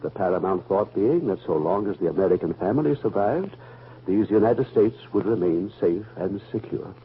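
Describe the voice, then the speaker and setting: On a muffled, hissy vintage radio recording, a reflective family man. His low voice rises and falls widely as he tells stories solemnly and deliberately, in 1940s radio style. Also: expressive. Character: reflective family man fondly recalling a radio show's history.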